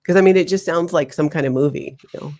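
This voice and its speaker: A woman in her forties from Hawaii, a guest telling her life story in an interview, animated and laughing often. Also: low voice, fast talker. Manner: animated, laughs often, slips into acted quotes.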